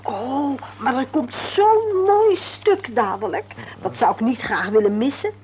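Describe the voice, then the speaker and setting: An actress playing a gushing, childish sister-in-law in a radio play, talking in a naive, fluttery, chirpy way. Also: female voice, sits high, sing-song, measured pace. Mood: flustered, eager. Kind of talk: Dutch radio play acting. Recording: muffled, hissy old radio recording.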